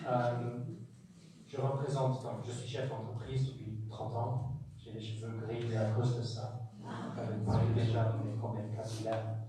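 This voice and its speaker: A man in his fifties, a business owner speaking on a panel in a candid, self-deprecating way. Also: mid-range voice. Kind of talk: panel talk. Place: conference room. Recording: echoey hall from far off, faint noise.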